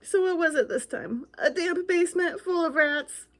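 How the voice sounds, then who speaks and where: A woman's voice: extremely high pitch, moderate pace, pitched above the performer's own voice. A woman voicing a jumpy, bluffing little ghost in a children's picture book, theatrical and full of anxious questions. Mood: indignant, pleading.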